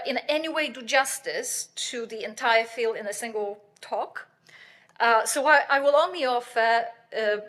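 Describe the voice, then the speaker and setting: A middle-aged woman giving a conference keynote lecture, loud and earnest, with fillers and light laughs. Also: fairly high pitch. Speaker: keynote speaker, professor.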